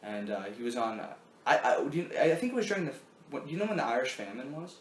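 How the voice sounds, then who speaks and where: A man's voice: mid-range voice, sing-song, quick pace. Young guy rambling on a podcast, tons of likes and ums, roomy echo. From Canada. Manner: rambling, lots of fillers and restarts. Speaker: podcast host. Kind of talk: casual chat. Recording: echoey room.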